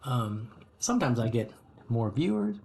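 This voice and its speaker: A man's voice: medium pitch, sing-song, measured pace, vocal fry, a little mellow. Host, American man, chatty and meandering, talking to chat from his hobby room. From United States. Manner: rambling and friendly, many ums and restarts. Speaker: streamer host. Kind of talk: casual chat with live chat viewers.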